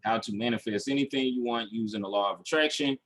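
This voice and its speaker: A man's voice: medium pitch, fast talker, fairly bright tone. A man teaching online marketing in a screen-share tutorial, talking through each step aloud and checking in as he goes. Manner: instructional, checking in with "okay" and "all right".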